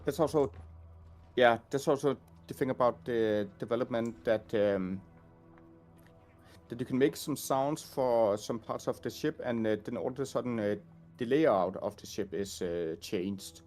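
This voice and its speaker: A man's voice: medium pitch, unhurried, a little mellow, soft background music. Hesitant male audio designer. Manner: hesitant, many fillers and restarts. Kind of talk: casual chat while showing a game.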